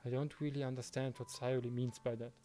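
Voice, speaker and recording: A man hosts a let's play, reading story narration and chatting casually about it. His voice is mellow and medium in pitch, with faint music behind him. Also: little pitch movement, fast talker. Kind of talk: reading visual novel narration, casual commentary.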